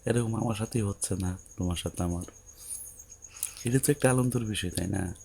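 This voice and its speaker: A man's voice: fairly low pitch, normal speed, gravelly, a little mellow, a little hiss or hum. A man playing a patient, coaxing young newlywed husband in an audio story, with a Bangladeshi accent and a soothing, colloquial way of explaining. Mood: gently coaxing.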